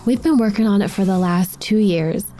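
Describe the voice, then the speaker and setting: Woman playing casual, mellow-voiced teenage daughter. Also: medium pitch, normal speed, soft background music, close to an everyday voice. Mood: fond, reflective. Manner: casual, youthful. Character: casual, playful, slightly sulky teenage daughter. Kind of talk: naturalistic screen drama acting with reflective narration.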